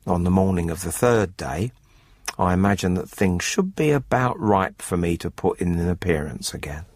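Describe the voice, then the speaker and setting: A smug farmer with murder on his conscience, narrating a radio drama. His voice is low and slightly husky, with measured, formal phrasing and a dry, coolly calculating air. The sound is close and dry, with music behind him. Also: animated, darkish tone, no echo.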